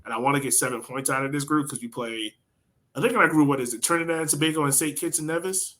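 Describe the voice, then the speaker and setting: A man's voice: fairly high pitch, fairly even intonation, fast, a bit bright. A man co-hosting a soccer podcast, talking in an informal, slangy way and telling stories casually. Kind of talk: casual sports chat.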